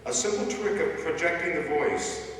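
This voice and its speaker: An actor playing a mysterious, calm vigilante in old-time radio style, measured and commanding. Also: male voice, a little high, natural voice. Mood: calm, reassuring. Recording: very echoey hall, far mic, faint noise.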